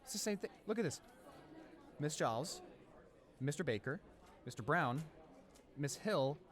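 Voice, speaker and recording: A young man playing a friendly, easily flustered high school senior in a roleplay audio drama, with an awkward, rambling, hesitant way of talking. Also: lively intonation, a little slow. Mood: eager, friendly. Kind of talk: roleplay audio drama, casual conversational acting.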